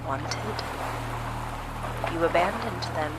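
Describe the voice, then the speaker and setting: A woman's voice: on the low side, slow pace, very noisy. An actress playing a smug, playfully cruel supernatural woman in a horror audio drama, speaking in a mocking, theatrical way. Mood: taunting. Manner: mocking, theatrical, breaking into chuckles and sighs.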